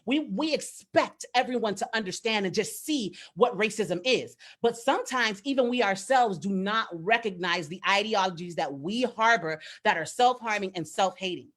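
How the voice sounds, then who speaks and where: A woman's voice: medium pitch, animated, moderate pace, hardly any pauses, fairly bright tone. A woman on a discussion panel, speaking in an earnest, emphatic and reflective way. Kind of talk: panel discussion, impassioned commentary.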